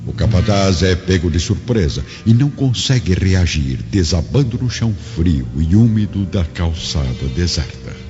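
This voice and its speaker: A man's voice: low voice, lively intonation, moderate pace, hardly any pauses. A man with a Brazilian accent narrating a dramatized audiobook in a solemn, literary style. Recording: dull lo-fi audio, music behind. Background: background music.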